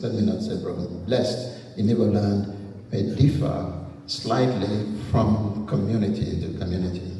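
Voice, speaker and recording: A man acting as emcee at a community ceremony, speaking in a measured way with pauses and fillers. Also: lowish voice, warm tone. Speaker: event emcee. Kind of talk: ceremonial speech at a community event. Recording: echoey hall recorded from far off.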